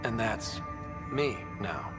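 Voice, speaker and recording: A man's voice: on the low side, expressive, slow, over music. A man playing an earnest, wry young space explorer in a video game, with a casual, direct delivery. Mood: questioning. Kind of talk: video game cutscene dialogue.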